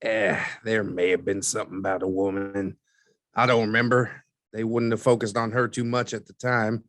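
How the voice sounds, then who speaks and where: A man playing a dry, skeptical newspaperman who explains things in a measured, wry interview style for an audio drama. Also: lowish voice, flat delivery, fairly bright tone, no room sound, lightly acted. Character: dry, skeptical newspaperman recounting archived cases.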